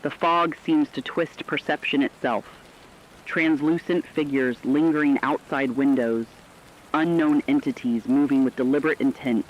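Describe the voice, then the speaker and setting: Through a dull, lo-fi radio sound with light rainy noise comes a man acting as a medium-pitched news analyst. The reporting is solemn, formal and emphatic, in the manner of a scripted apocalypse radio drama. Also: natural voice. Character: somber radio news analyst describing human toll of apocalypse.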